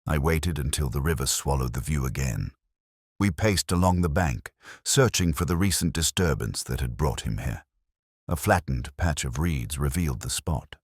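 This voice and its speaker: A man playing a weary, melancholy police inspector, narrating a detective drama in solemn, measured, literary phrasing. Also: very deep voice, expressive, slightly rough, dry recording, studio quality, heavily acted. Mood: grave, reflective. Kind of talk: first-person scripted detective drama narration.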